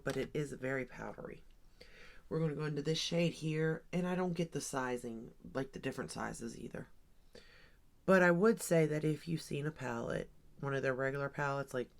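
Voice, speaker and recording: A woman in her forties chatting casually while doing her makeup, rambling and thinking aloud with lots of ums and likes. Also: low-pitched. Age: 40s. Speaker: beauty vlogger. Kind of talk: casual get-ready-with-me makeup chat.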